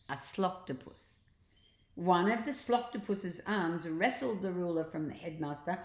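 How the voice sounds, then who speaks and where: An older woman reading a children's storybook aloud as a grandmother would, with expressive, drawn-out emphasis, in a roomy recording. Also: fairly low pitch. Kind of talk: storybook narration read aloud.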